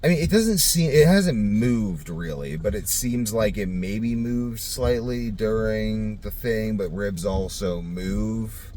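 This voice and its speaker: Low-voiced man rambling on a vlog. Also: animated, slow pace, few pauses, dark tone, slight background noise. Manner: rambling, thinking aloud. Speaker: vlogger. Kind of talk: casual off-the-cuff video diary.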